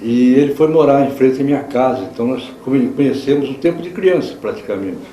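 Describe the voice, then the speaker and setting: An older man with an accent from inland São Paulo state, Brazil, gives a reminiscing testimonial, pausing and correcting himself as he recalls. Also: medium pitch, mellow, highs cut off. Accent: Brazilian, São Paulo state interior. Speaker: childhood friend giving a testimonial. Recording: echoey room, faint background noise.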